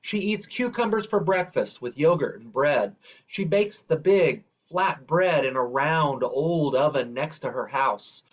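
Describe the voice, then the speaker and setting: A man reading a children's picture book aloud in a measured, deliberate way. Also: a little high, lively intonation. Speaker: reader. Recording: dull, lo-fi sound.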